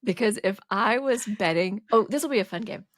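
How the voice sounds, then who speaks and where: A woman's voice: medium pitch, no echo. Teasing woman podcaster, dry sound. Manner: teasing, quick questions. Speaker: podcast co-host. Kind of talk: casual chat.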